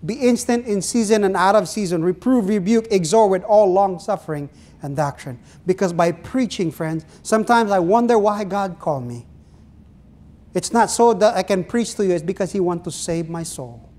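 A man's voice: high-pitched, a little mellow. A middle-aged man from the Philippines with a Filipino accent, preaching an earnest sermon from a pulpit in a small church and putting questions to his listeners. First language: Ilonggo. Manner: earnest preaching, drawn-out phrases, asks the congregation questions. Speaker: pastor.

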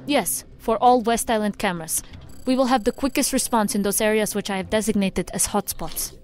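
A woman's voice: mid-range voice, fairly quick, darkish tone, soft background music, lightly acted. A woman playing a businesslike technical agent in a science fiction audio drama, formal and precise in her speech.

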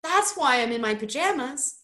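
A woman's voice: sits high, animated, normal speed, sharp tone, reverberant, pitched above the performer's own voice. A woman voicing a frightened young boy in a storybook, tearful and stammering, trying to sound fierce. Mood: miserable.

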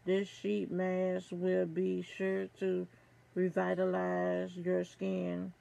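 A middle-aged woman reviewing beauty products, reading aloud haltingly from a card and stumbling over names. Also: lowish voice, completely flat delivery, vocal fry, warm tone. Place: small room at home.

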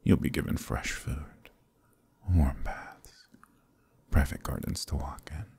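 Very deep, gravelly and dark, this is a suave, possessive demon prince, played by a man in an audio roleplay. He murmurs close to the mic in a husky, purring near-whisper, commanding and indulgent at once. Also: slow pace, exaggerated voice. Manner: hushed, purring, often whispering. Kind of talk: ASMR roleplay.

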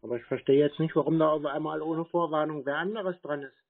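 A man's voice: on the high side, steady tone, fast talker. A man chatting casually on a call, rambling and stalling in a nonchalant way. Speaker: scambaiter taking a scam call. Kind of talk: casual, stalling phone chat. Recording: muffled narrow-band audio with noticeable noise.

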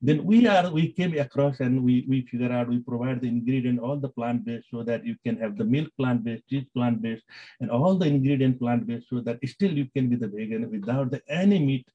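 A man with a Nepali accent answering interview questions in halting English, full of fillers and restarts. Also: mid-range voice, mellow. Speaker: business executive guest. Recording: dull lo-fi sound.

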